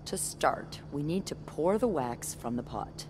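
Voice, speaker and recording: A woman's voice: deep voice, expressive, a little slow, background noise. An actress voicing a wry, playful, self-assured young woman with a teasing manner in a naturalistic video game scene. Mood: encouraging, wry.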